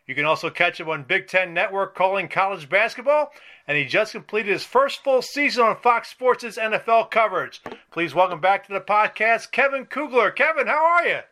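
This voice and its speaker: A man hosting a sports podcast interview, speaking somewhat haltingly as he puts his questions. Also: high-pitched, animated, sharp tone.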